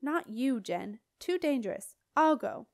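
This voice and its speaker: Woman acting an urgent, earnest high-voiced boy. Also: very animated, a little slow, darkish tone, full character voice. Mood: urgent, insistent. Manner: urgent, insistent, raised voice. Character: earnest, protective, determined boy piloting a dragon. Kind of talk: audiobook character dialogue.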